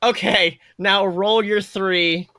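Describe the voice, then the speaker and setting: A man's voice: high-pitched, fairly even intonation, slow, bright, forceful tone. A young man casually narrating a tabletop game as game master, playful, exclamatory and sweary. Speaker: game master and podcast host.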